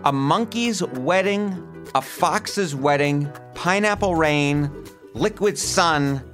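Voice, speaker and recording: A man's voice: a little high, slow pace, a bit bright, soft background music. A man with a New York accent hosting a podcast, narrating in a curious, storytelling way and quizzing a guest. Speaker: podcast host.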